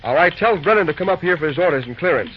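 A man playing a brisk, busy railroad dispatcher in an old-time radio drama, talking in clipped, folksy railroad slang. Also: a little high, lively intonation, lightly acted. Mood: businesslike. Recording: muffled, noisy old radio recording.

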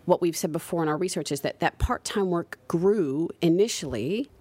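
A woman's voice: on the low side, expressive, normal speed, warm tone, no echo. A woman in her forties with an American accent, a researcher answering interview questions and often adding "right?" as she explains. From United States. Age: 40s. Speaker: guest researcher.

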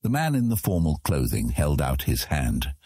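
A man's voice: extremely low pitch, sing-song, normal speed, dark tone, breathy edge, no echo, studio quality. A man reading scripted fantasy narration as an audiobook narrator.

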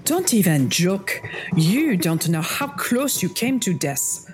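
An actress playing a guarded adventuress in an audio drama, speaking in a formal, dry and precise way. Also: female voice, medium pitch, sing-song, slow pace, darkish tone, faint music behind. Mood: grave, guarded. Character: guarded, formal, world-weary female adventurer.

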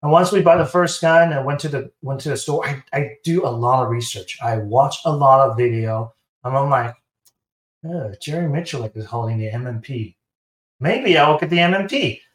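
A man's voice: medium pitch, moderate pace. Taiwanese-accented man telling stories, roomy sound. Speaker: guest, sponsored competitive shooter. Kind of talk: casual interview storytelling.

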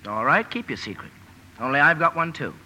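Very bright, animated young man. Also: on the high side, a little fast, exaggerated voice. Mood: teasing. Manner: animated, emphatic. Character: eager, teasing, romantic young man next door. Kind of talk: 1940s-50s radio drama acting. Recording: noisy vintage radio broadcast recording.

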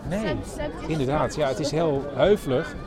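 A man's voice: on the high side, normal speed. A man hosting a podcast, casually reporting on the spot. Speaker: podcast host. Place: mostly outdoors at a small football ground. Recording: outdoor football crowd, music behind.

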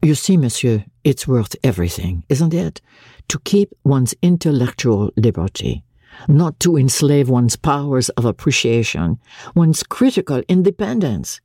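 A woman's voice: very deep voice, sing-song, measured pace, mellow, slightly rough, no echo, studio quality, exaggerated voice. A woman voicing an eager young tutor, earnest, polite and philosophising. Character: eager, impecunious young Frenchman, an intellectual private tutor.

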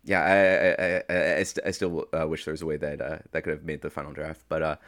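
A man's voice: rumbling low, level tone, fast, a bit bright, clear, resonant tone. A man talking casually as a podcast guest, informal and often searching for his words. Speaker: podcast guest, audio fiction creator. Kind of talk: casual interview chat.

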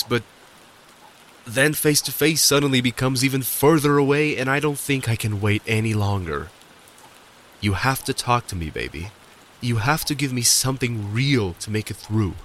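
An actor playing an exasperated but loving husband in an audio drama, dictating an emotional message in informal, halting, emphatic phrases. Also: male voice, medium pitch, a bit bright, slight background noise, lightly acted. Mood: pleading, determined. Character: exasperated, loving husband left waiting on Earth.

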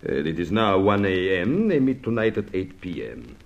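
A suave foreign villain from an old radio drama, low in pitch and swooping in melody. He is elaborately courteous and formal, with menace under every polite phrase. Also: sing-song, normal speed, highs cut off. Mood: polite threat. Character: suave, mock-courteous foreign villain holding men at gunpoint.

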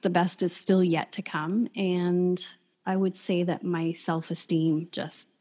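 Female podcast host in her thirties, slightly low pitch, warm and reflective, sincere conversational delivery. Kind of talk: casual podcast chat.

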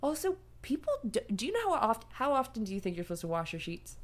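A woman's voice: fairly high pitch, very animated, fast talker. A woman in her late twenties co-hosting a podcast, joking about herself with frequent giggles and reading listener letters. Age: late 20s. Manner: giggly, self-mocking, reads listener letters. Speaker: podcast co-host. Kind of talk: casual comedic chat.

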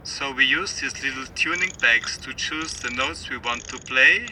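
An actor playing a careful, methodical restorer giving a tutorial in an audio drama, heard as video playback. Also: male voice, mid-range voice, normal speed, bright, forceful tone. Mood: methodical, instructive. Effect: played back from a video. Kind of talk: instructional demonstration in an audio drama. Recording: online video playback, background noise.

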